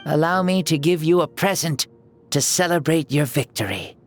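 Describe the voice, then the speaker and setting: A man playing a noble, ancient warrior leader in a video game, speaking in formal, measured oratory with a youthful spirit. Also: a little high, close to an everyday voice. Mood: solemn, admiring. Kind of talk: dramatic video game voice acting. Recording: music behind the voice.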